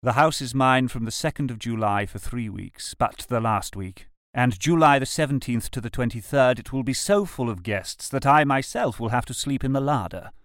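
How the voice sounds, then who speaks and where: A man's voice: mid-range voice, animated, moderate pace, few pauses, fairly bright tone, dry recording. Man reading old letters, dry sound. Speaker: reader of historical letters.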